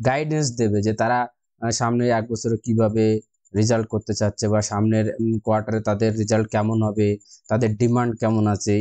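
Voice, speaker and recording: Indian bengali guy doing a casual how-to, talks really fast, pretty dry sound, instructional. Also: lowish voice, dark tone, no room sound. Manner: instructional, addresses viewers as friends. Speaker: investing tutorial host. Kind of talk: casual screen-recorded tutorial narration.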